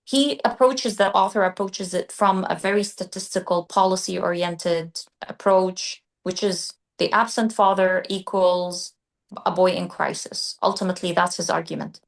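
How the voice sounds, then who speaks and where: A woman who grew up in Qatar, co-hosting a podcast and discussing ideas in a thoughtful, measured way. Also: lowish voice, fairly even intonation, creaky. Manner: thoughtful, measured, restarts mid-phrase. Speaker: podcast co-host. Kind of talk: casual discussion.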